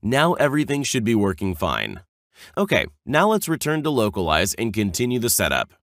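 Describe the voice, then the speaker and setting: A man reading a scripted software tutorial, explaining clearly step by step as an instructional narrator. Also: on the low side, no room sound, clean studio sound. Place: close, dry voice-over recording.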